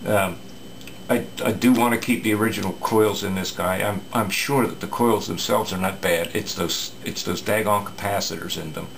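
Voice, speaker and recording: A low, gravelly male voice explains an old radio repair in an unscripted, halting way, stopping often for fillers and restarts. A faint hiss of background noise sits under the recording. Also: steady tone, fairly bright tone. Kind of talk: casual workbench repair talk.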